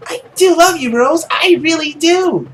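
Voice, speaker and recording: A man's voice: very high voice, measured pace, faint music behind, voice pushed up. A man voicing a cheerful, lovestruck teenage boy, playful and pleading, in a visual novel. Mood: earnest, lovestruck.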